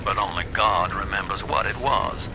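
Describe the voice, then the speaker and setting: A worldly man of a seedy video game city, fairly low in pitch. He spins tales with wry, folksy relish over very loud background noise. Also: steady tone, moderate pace. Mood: wry, amused. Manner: folksy, wry, savoring storytelling.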